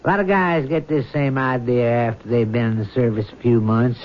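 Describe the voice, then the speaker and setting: An actor playing a middle-aged veteran army sergeant in a radio drama, blunt and teasing with a wry manner. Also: male voice, mid-range voice, fairly even intonation, fairly quick, close to an everyday voice. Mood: doubtful. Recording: muffled old radio broadcast.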